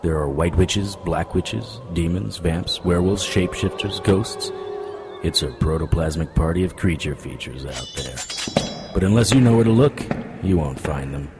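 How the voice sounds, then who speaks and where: An actor playing a cynical, world-weary private detective in a noir radio drama, wry and sardonic. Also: male voice, low voice, normal speed, music in the background. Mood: wry, brooding. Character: cynical male hard-boiled occult private detective.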